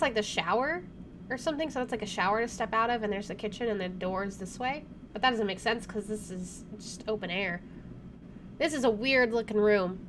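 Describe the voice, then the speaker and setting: A woman doing let's play commentary, reading game text aloud in an expressive, dramatic way. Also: on the high side. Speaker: video game streamer. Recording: background noise and game ambience.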